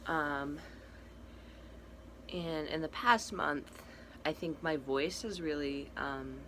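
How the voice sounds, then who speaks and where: A young man with a cracky, breaking voice, giving a casual personal update in a halting, soft-spoken way. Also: fairly high pitch. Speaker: vlogger. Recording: noticeable background noise.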